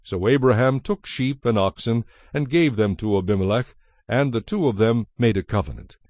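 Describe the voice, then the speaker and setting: A man giving a measured, solemn narration of scripture as the narrator of a dramatized production. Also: mid-range voice, no echo. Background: soft music.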